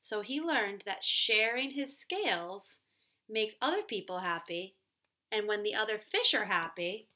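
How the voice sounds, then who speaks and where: A young woman teacher leads a read-aloud lesson for children. Her voice is fairly high and bright, and she explains things slowly and deliberately. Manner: deliberate, explanatory, checks in with "right?". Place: small room at home, indoors.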